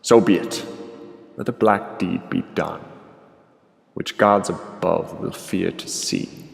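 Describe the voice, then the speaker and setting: Guy doing a brooding vengeful king from an old tragedy, gravelly, theatrical, music playing under him. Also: low voice, steady tone, takes it slowly, exaggerated voice. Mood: dark, tormented. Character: vengeful, brooding tyrant king, tormented by rage. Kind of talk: classical tragedy monologue, declaimed audio drama. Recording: long hall reverb, music underneath. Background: dramatic music.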